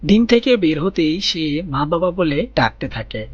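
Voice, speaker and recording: A man narrating a children's cartoon story in a gentle storybook manner, speaking to young listeners as friends. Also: a little high, sing-song, a little slow, breathy edge, no echo, lo-fi sound. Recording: voice-over with loud background music.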